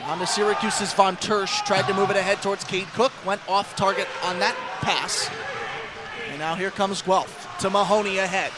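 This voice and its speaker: A man giving live ice hockey radio commentary as play-by-play announcer, excitable and ready to raise his voice on chances. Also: sits high, moderate pace, heavy background noise.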